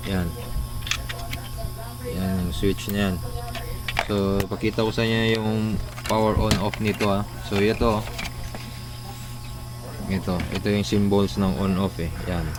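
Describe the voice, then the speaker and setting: A man's voice: low voice, flat delivery, unhurried, long pauses, background noise. A man with a Filipino accent hosting a casual hands-on repair tutorial, talking informally through each step as he works. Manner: informal, talking through steps while working. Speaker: electronics repair tutorial host.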